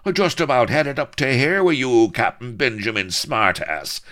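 A man reading aloud, voicing a blustering, raging pirate colonel with a gruff, bellowing delivery. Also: on the low side, very animated, a little slow, fairly bright tone, pitched above the performer's own voice. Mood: ranting. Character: blustering raging Irish-tinged pirate colonel, middle-aged.

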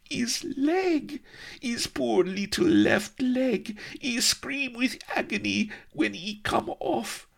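A man's voice: fairly high pitch, expressive, slow, gravelly, a bit bright, pitched above the performer's own voice, heavily acted. A man voicing a panicky young noblewoman in broken English with a put-on Spanish-flavoured accent, breathless and melodramatic. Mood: distraught.

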